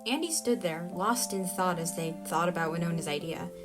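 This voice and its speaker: A woman's voice: fairly low pitch, moderate pace. Woman narrating a story over music. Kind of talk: scripted audio drama narration.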